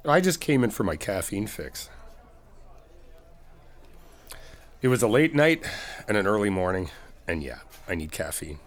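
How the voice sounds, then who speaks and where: A man acts out an easygoing male best friend with a low voice. He talks in a casual, conversational way, reassuring and supportive, rephrasing as he goes. It is a one-sided ASMR comfort roleplay, spoken to a single friend. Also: expressive, fairly quick, close to an everyday voice. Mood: friendly, concerned. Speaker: audio roleplay performer.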